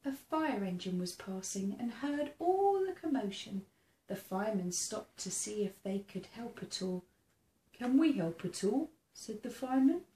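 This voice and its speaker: A woman reading a children's picture book aloud in a theatrical, expressive way, engaging young listeners. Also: mid-range voice, a little slow. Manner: asks the listening child questions. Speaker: storybook reader. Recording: roomy sound with faint background noise.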